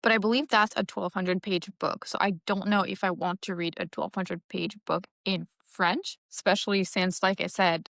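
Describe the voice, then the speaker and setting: A woman's voice: fairly low pitch, fairly bright tone, dry recording. Young woman, Creole speaker, casual book-vlog chat, thinks aloud, self-deprecating, dry close sound. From Mauritius. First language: Mauritian Creole. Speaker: book vlogger. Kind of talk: casual reading-plans chat.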